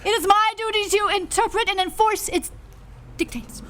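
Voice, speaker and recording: An actress playing a solemn, declamatory high priestess in a live old-time radio play. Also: female voice, fairly even intonation, moderate pace, a bit bright, slight background noise. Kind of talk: 1930s radio serial acting.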